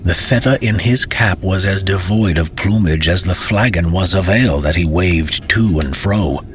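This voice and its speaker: A man's voice: low voice, normal speed, slight background noise. A man narrating a fantasy tale as a storyteller, with dramatic, theatrical and courtly phrasing.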